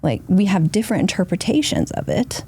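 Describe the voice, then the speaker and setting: A woman's voice: mid-range voice, big pitch swings, brisk, warm tone, slightly rough. A woman from Argentina, a podcast guest, talking reflectively in long flowing sentences. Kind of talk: casual podcast conversation.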